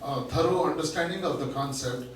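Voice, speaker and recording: Loud teacherly man in echoey hall. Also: a little high, brisk. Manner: loud, teacherly, explains with rhetorical questions. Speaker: guest speaker, learning center founder. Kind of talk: public talk to an audience. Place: large echoey room. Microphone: microphone at a distance. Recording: echoey room from far off, faint noise.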